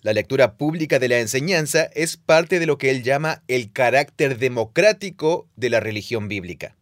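Guy teaching bible history on a podcast, mid-pitched, reads quotes out, dead dry mic. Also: dry recording. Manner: explains at length, reads quotes aloud, laughs easily. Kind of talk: conversational podcast explanation.

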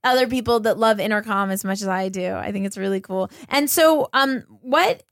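A woman's voice: mid-range voice, normal speed, no echo, studio quality. Female interviewer, mid-range voice, informal and enthusiastic, recorded without room sound. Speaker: podcast host, interviewer. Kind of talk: casual interview chat.

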